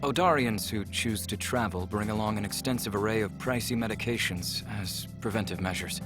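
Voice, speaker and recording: An actor playing a polite, earnest, travel-weary alien scientist in a visual novel, speaking in a measured, explanatory way. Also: male voice, on the low side, music in the background, close to an everyday voice. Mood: earnest, informative. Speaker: game character.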